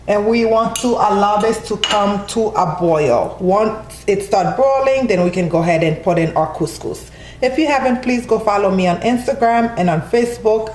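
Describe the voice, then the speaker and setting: A woman's voice: medium pitch, darkish tone, reverberant, a little hiss or hum. Chatty woman hosting a kitchen tutorial. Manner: friendly, chatty, addresses viewers as guys. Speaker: cooking channel host. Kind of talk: casual cooking tutorial voice-over. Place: home kitchen.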